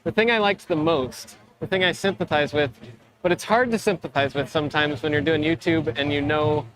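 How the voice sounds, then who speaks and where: A man with a slightly raised pitch chats with guests on a busy expo floor for a live stream. He is upbeat and easygoing, and often trails off and restarts his sentences as he goes. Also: fairly quick, a bit bright, a little hiss or hum, poor audio. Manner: chatty, enthusiastic, trailing restarts. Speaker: livestream co-host interviewing creators. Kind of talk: casual live interview.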